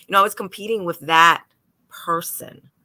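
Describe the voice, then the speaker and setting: A woman's voice: fairly low pitch, normal speed. A woman lawyer from New York speaking on a panel, telling stories animatedly and acting out what people said. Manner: animated storytelling, acts out quotes. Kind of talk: panel discussion.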